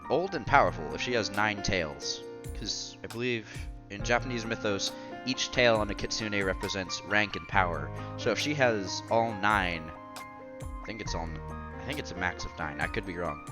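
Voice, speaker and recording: A man's voice: lowish voice, expressive, moderate pace, music in the background. A man reading a visual novel aloud as a streamer, with casual commentary along the way.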